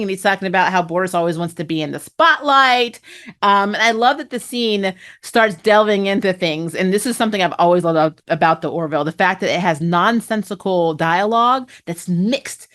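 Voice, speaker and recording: A woman's voice: mid-range voice, fairly bright tone. A woman hosting a fan review, casually recapping a TV show with chatty, slangy, gushing enthusiasm and plenty of opinion. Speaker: host of a fan TV review channel.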